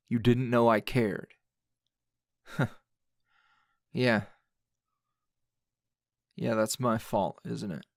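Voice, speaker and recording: A man playing a grouchy, wounded anime hero in a dramatic ASMR roleplay, sardonic and halting in manner. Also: medium pitch, steady tone, gravelly, no room sound, studio quality. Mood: bitter, sarcastic. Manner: sardonic, halting, emotionally raw.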